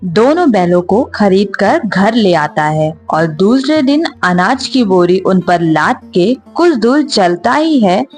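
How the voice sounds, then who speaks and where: Woman, scripted children's narration, slightly rough tone, faint music behind. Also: mid-range voice, sing-song, slow, darkish tone.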